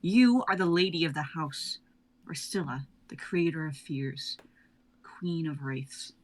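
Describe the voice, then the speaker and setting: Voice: medium pitch, measured pace, close to an everyday voice. A performer reading a fearless sorceress in a live drama reading, cool, cutting and self-assured. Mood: confident. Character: fearless female sorceress, claims to be a god.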